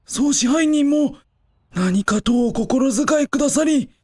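A woman plays an older hotel cook in a radio drama. The voice is very high, and the speech is formal and deferential, that of a humble, grateful parent. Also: expressive, takes it slowly, darkish tone. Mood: grateful, relieved. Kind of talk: scripted radio drama acting.

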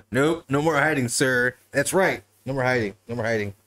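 A man's voice: medium pitch, normal speed, a bit bright. A man in his thirties hosting a casual livestream talk show from a living room couch, laughing often and bantering loosely with swearing.

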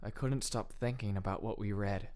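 A man reading first-person scripted audiobook narration in a low-key manner. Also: steady tone, moderate pace, a little mellow.

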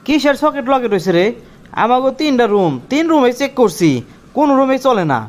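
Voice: high voice, lively intonation, brisk. Casting: hot-headed, cheeky young village schemer played by an actor, rural Bangladeshi accent, colloquial and argumentative, comic cartoon. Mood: frustrated. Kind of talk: comic Bengali cartoon dialogue.

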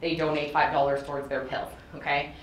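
A woman's voice: deep voice, flat delivery, a little fast. Woman talking in an echoey classroom. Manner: conversational, checks in with frequent "okay?". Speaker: clinic speaker on a panel. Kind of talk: informal talk to an audience. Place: classroom, indoors. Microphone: far room camera. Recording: echoey classroom, faint hiss.

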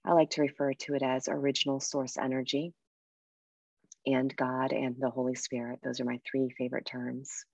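A woman guiding a meditation and breathing exercise in a soft, unhurried, soothing way with pauses. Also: a bass voice, level tone, dry recording, highs cut off. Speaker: meditation guide and coach. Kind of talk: guided meditation with breathing instruction.

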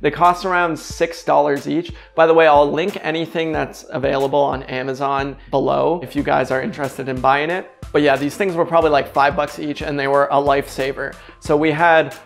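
A man's voice: on the high side, flat delivery, brisk, vocal fry, hardly any pauses, faint music behind. A young man in his twenties from Canada, chatting upbeat to camera in a casual travel vlog, telling stories with lots of fillers, recorded on a lapel mic in a kitchen. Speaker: travel vlogger. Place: indoors in a bright kitchen.

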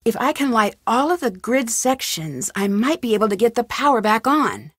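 A woman's voice: a little high, big pitch swings, moderate pace, no echo, clean studio sound. An actress playing a curious, upbeat young woman detective in an adventure game, thinking aloud in short scripted remarks. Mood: thoughtful.

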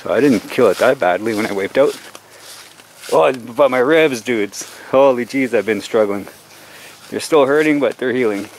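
Man, middle-aged, easygoing rambling, mid pitch, backyard, faint background noise. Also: moderate pace. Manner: rambling, easygoing, talks while doing yard chores. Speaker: vlogger. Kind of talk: casual chat. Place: backyard outdoors.

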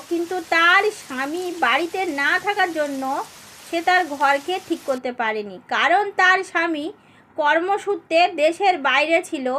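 A woman's voice: very high voice, bright, forceful tone, slight background noise. A woman reading scripted narration for a cartoon story.

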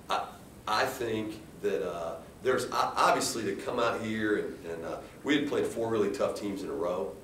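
American male coach, low register, measured and halting post-game remarks, echoey room with faint noise. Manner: halting, measured, with repeated restarts. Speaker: head basketball coach. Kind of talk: post-game press conference answers. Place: indoor press room at a table. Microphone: distant camera. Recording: roomy, distant mic with faint rumbling noise.

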